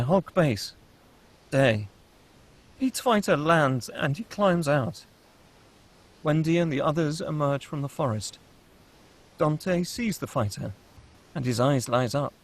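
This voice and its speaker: A man narrating screenplay stage directions in a scripted table-read style. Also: a little high.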